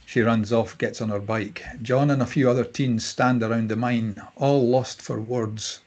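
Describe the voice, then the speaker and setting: A man with a husky voice reading scripted narration and stage directions at a table read. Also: mid-range voice, steady tone, a little slow, a little mellow.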